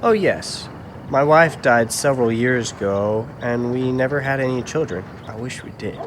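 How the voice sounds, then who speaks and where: A man playing a gentle, weary older widowed carpenter in a puppet show. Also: medium pitch, normal speed, a little hiss or hum, lightly acted. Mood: wistful, tired. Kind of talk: church puppet show dialogue.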